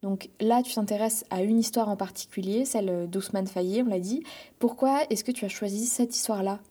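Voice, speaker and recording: A woman journalist hosting a podcast, reading a scripted introduction and asking questions in a measured, deliberate way. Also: mid-range voice, mellow, slightly rough.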